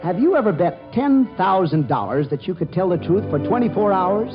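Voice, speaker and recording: Male host-narrator, high animated delivery, scripted vintage radio style, music behind, muffled. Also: moderate pace.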